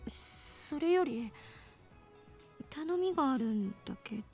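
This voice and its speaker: A woman's voice: sits high, expressive, normal speed, dark tone, soft background music. A woman voicing a prickly, defensive, brainy young woman in a Japanese visual novel, with a curt, huffy manner. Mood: sullen, reluctant. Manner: curt, tsundere huffiness.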